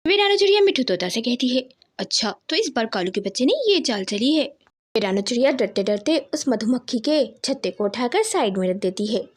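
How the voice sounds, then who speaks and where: A woman tells a children's cartoon story from a script. Her voice is high, with animated, swooping intonation. She narrates expressively, with a dramatic storyteller's delivery.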